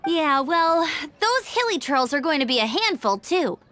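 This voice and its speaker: Young scout girl, very high bright voice, animated, breezy, confident, anime game. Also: moderate pace. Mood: upbeat, confident. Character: perky, confident young female scout, eager to help. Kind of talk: anime-style video game voice acting.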